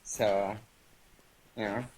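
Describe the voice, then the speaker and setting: A young man from Venezuela whose first language is Spanish, telling stories in a halting casual interview with many fillers. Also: on the low side, little pitch movement, darkish tone. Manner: halting, lots of fillers and restarts. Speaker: guest. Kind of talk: casual interview over voice chat.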